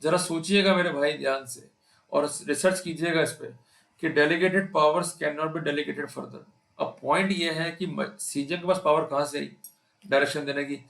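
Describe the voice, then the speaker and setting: A man's voice: fairly high pitch, gravelly, a bit bright. A man with an Indian accent giving an explanatory law lecture, speaking emphatically and addressing his listeners directly. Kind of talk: explanatory law lecture to camera, Hindi mixed with English. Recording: echoey, reverberant room.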